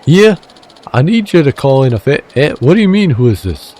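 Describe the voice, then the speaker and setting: A man's voice: on the high side, big pitch swings, brisk, dark tone, slight background noise, voice pushed up. A man voicing a brash, wheeling-dealing male fixer with a shaky attempt at a Minnesotan accent, pushy and threatening on the phone. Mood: bullying.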